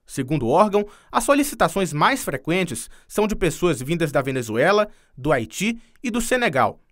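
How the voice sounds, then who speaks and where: Lively high-voiced male news reporter. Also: moderate pace. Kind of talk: scripted news narration.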